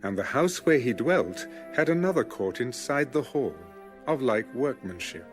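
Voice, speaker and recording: A man narrating scripture from a script in a measured, solemn manner. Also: mid-range voice, expressive, over music. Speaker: Bible narrator.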